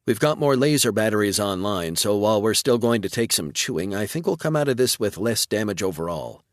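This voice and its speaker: A man's voice: on the low side, expressive, no echo, studio quality, close to an everyday voice. Businesslike female weapons officer, voiced by a man. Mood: confident. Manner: brisk tactical reporting.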